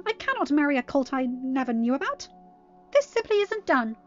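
A woman playing a haughty young royal lady in a story, melodramatic and indignant, speaking with shrill, loud emphasis. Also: animated, a little slow. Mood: outraged. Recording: muffled lo-fi audio with music.

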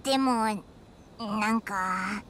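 A woman voicing a tiny childlike fairy companion in an anime game, squeaky, blunt and excitable. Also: very slow, slight background noise. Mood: questioning. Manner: blunt, exaggerated, inquisitive. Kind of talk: Japanese anime game voice acting. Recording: music behind voice.

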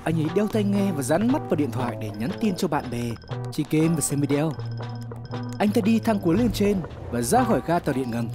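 A man's voice: fairly high pitch, big pitch swings, a little fast. A man reads scripted horror story narration in a dramatic, suspenseful storytelling manner. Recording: music behind the voice. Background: background music.

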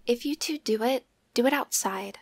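A woman playing a snarky, level-headed vampire friend in an audio roleplay, with a raspy, creaky voice. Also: on the high side, moderate pace, no echo. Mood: dry, annoyed.

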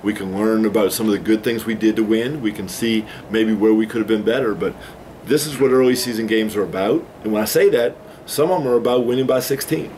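A man in his forties, a head coach, giving measured, explanatory postgame answers at a press conference table. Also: on the low side, a little mellow, slight background noise, poor audio. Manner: measured, explanatory, takes responsibility. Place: small press room with a backdrop, indoors.

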